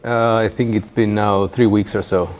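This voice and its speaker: A man's voice: fairly low pitch, steady tone, normal speed, a little mellow. A man from Greece with a Greek accent talks casually as a guest in a chat, with a wry, self-deprecating manner. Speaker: guest, startup founder. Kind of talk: casual fireside chat interview. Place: community tech workspace, live event. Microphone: handheld microphone. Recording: dull, muffled sound with background noise.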